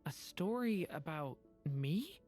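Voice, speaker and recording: A woman playing a gentle, earnest dragonborn boy in a video game, soft-spoken, wistful and polite. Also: fairly low pitch, expressive, slow pace, over music.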